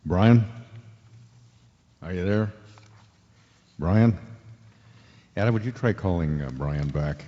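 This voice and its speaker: A man acting as a steady radio news anchor during an alien invasion in a radio drama. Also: low voice, slow pace, pauses often. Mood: concerned. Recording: dull lo-fi audio with long reverb.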